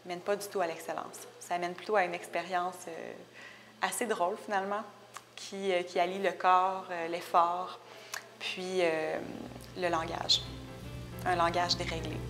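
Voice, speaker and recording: Soft-spoken Québécoise in an echoing gallery. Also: female voice, lowish voice, flat delivery, unhurried, faint music behind. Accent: Québécois French. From Rimouski, Quebec. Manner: thoughtful, searching for words. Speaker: visual artist. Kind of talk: casual interview about her work. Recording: long reverb, faint background noise.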